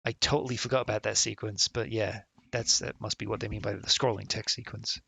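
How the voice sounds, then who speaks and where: A man's voice: fairly low pitch, steady tone, brisk, vocal fry, bright, forceful tone, slightly rough, no echo. A man streaming a puzzle game live, thinking aloud, swearing and muttering to himself as he tries passwords. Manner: swears and mutters while working through a puzzle. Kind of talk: live gameplay commentary. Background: faint game music.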